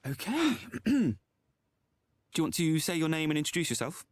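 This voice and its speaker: A courteous documentary interviewer with a fairly high, lively voice. He sounds polite and slightly tentative in a game cutscene, recorded close and dry. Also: normal speed, no room sound.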